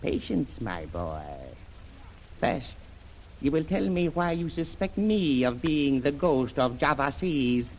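An actor playing a courteous, shrewd Chinese shipping magnate in a radio adventure, speaking formally and in measured proverbs. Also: male voice, a little high, very animated, exaggerated voice. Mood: courteous, assured. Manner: formal, measured, proverb-laden, dropping articles. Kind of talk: old-time radio adventure acting. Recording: noisy vintage radio drama recording.

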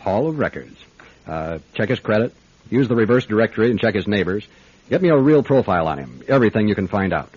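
An actor playing a brisk, wry private detective in a radio drama, with a clipped, matter-of-fact delivery. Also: male voice, lowish voice, big pitch swings, a little mellow, husky, no room sound, dull audio. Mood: brisk, commanding. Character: hard-boiled male private detective, brisk, wry, businesslike. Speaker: lead actor and narrator. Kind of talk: radio detective drama with first-person narration.

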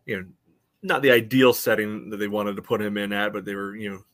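Lower-voiced man, rambling casually. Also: flat delivery, fairly quick, a bit bright, dry recording, clean studio sound. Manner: rambling, peppered with "you know". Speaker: sports podcast panelist. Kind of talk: casual sports analysis.

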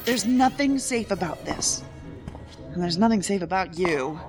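An actress playing a wary, sharp-tongued federal agent in an audio drama, pointed and argumentative. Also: female voice, medium pitch, lively intonation, a little slow, over loud music, lightly acted. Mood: indignant.

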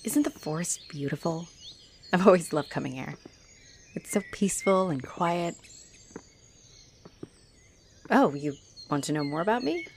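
A woman's voice: lowish voice, expressive, normal speed. A woman playing a friendly, teasing, flirty female halfling orc adventurer in a roleplay audio addressed to the listener. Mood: chatty, proud. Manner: teasing, chuckling, coy. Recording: noticeable noise behind the voice.

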